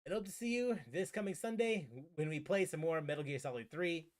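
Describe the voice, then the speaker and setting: A man giving casual live stream commentary as a game streamer, halting and repeating his phrases. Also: fairly high pitch.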